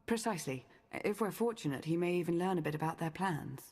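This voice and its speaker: Calm, precise strategist. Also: female voice, fairly low pitch, fast talker, breathy edge. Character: precise, composed woman, analytical strategist. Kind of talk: video game voice acting.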